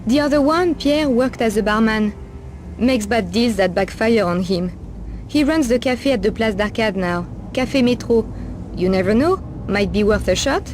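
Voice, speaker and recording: A woman's voice: a little high, animated, normal speed, darkish tone, a little hiss or hum, close to an everyday voice. A woman playing a world-weary, streetwise Parisian streetwalker in a video game, knowing, familiar and sardonic. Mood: gossipy, knowing. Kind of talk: video game cutscene acting.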